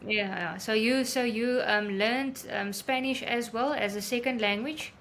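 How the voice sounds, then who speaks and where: A woman from South Africa whose first language is Afrikaans, a guest in an interview, friendly and quick to laugh, with hesitant fillers. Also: fairly high pitch, fairly bright tone, slight background noise. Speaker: guest, photographer and travel blogger. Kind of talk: casual interview chat.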